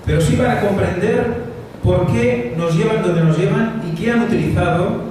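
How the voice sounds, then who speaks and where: A man from Spain with a Spanish accent, giving a conference talk with frequent pauses and "eh" fillers. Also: sits high, darkish tone. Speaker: conference speaker. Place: conference hall. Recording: distant mic in echoey hall, noisy.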